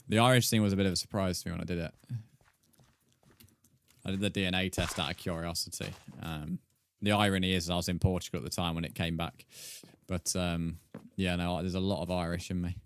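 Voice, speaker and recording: Low-voiced English man chatting on stream. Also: a bit bright, a little hiss or hum. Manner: rambling, matey. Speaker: video game live streamer. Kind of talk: casual chat with live stream viewers.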